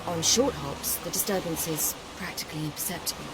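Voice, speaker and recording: A woman playing a composed, free-spirited young woman in a video game, with a slightly low, even voice and a measured way of speaking. ambient noise sits clearly behind her. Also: close to an everyday voice. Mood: calm.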